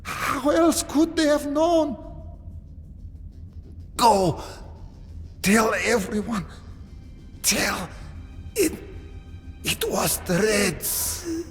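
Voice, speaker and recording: An actor playing a desperate, dying man in a video game, halting and strained. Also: very high voice, big pitch swings, long reverb tail, faint music behind, heavily acted. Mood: weak, urgent. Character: desperate, dying male tunnel dweller.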